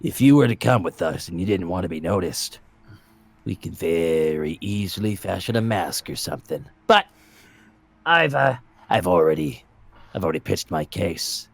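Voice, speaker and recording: A man plays a sly tabletop-game adventurer making his pitch. The voice is fairly low and closely recorded with little room sound. He is persuasive and coaxing. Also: animated, normal speed, vocal fry, no room sound, heavily acted.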